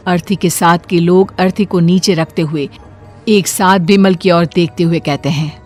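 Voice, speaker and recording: A woman's voice: on the low side, steady tone, normal speed, darkish tone, faint music behind. A woman narrator reading a scripted horror story.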